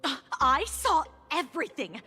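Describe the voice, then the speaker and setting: A woman acting an excitable young student fan in a video game. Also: high voice, measured pace, full character voice. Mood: eager. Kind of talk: acted game dialogue. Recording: loud music and crowd behind.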